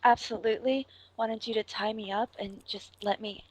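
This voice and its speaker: A woman making a prank phone call, gushing in a breathy, exaggeratedly flirtatious way. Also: fairly high pitch, fairly even intonation, moderate pace, no echo. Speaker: prank caller.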